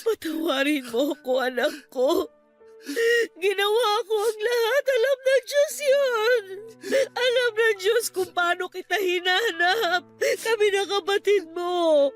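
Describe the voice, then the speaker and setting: A woman's voice: very high-pitched, expressive. A woman playing an emotional, pleading mother in tearful Filipino radio drama, with a Filipino accent. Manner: emotional, pleading, drawn-out delivery. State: crying. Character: tearful, pleading older mother reunited with lost son. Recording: music under the voice.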